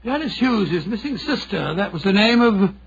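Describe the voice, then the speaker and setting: An actor playing an earnest, loyal, grumbling British gentleman companion to a detective in a 1940s radio drama. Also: high voice, expressive, moderate pace, vocal fry, breathy edge, heavily acted. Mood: earnest, urgent. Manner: blustery, plaintive, stammering slightly. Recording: muffled 1940s radio recording.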